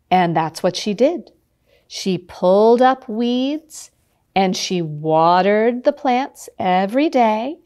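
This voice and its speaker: An American woman, around her thirties or forties, telling a children's story in an expressive, deliberate storybook cadence. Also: mid-range voice. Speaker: library storyteller. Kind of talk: children's storytelling with shadow puppets.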